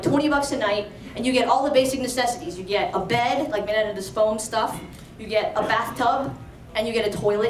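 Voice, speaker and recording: A woman's voice: on the high side, slight background noise. Young woman telling a story live, chatty, lots of likes, roomy sound, slightly distant. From Alexandria, Virginia, USA. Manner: chatty and conversational, full of "like" and "you know". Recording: roomy live venue, voice from off-mic.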